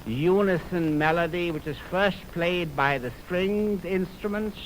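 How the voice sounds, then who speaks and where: A man announcing classical music on 1920s radio, speaking in a solemn, formal, measured way on an old aircheck recording. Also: high voice. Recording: noisy 1929 film-recorded radio aircheck.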